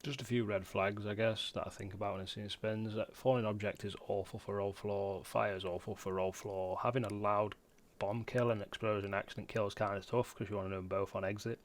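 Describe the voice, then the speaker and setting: A man's voice: lowish voice, little pitch movement, fairly quick. A man giving casual, matter-of-fact commentary for a video game guide in an informal way. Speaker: gamer presenting a guide.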